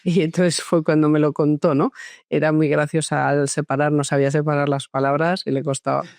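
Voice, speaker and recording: A woman psychologist born in France, telling her story in a relaxed interview with many hesitations and restarts. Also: deep voice, brisk, dry recording, clean studio sound. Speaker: guest psychologist. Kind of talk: conversational interview.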